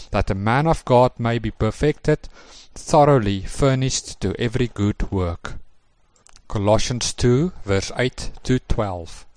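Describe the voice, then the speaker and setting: A man in a recorded lesson presentation, reading scripture passages in a measured, formal way. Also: medium pitch, darkish tone, no room sound.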